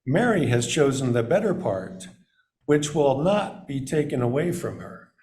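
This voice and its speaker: Slow, mellow man reading scripture expressively. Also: mid-range voice, expressive. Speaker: scripture reader.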